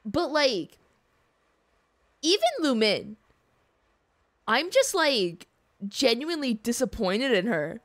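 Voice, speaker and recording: High-voiced woman streamer reacting to a game. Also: big pitch swings, slow, pauses often. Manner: slangy, emotive reactions. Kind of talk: casual game livestream commentary. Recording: game music behind voice. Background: game music.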